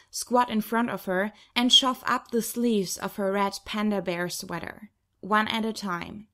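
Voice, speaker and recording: A woman narrating a first-person novel as an audiobook reader, in an expressive storytelling manner. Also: medium pitch.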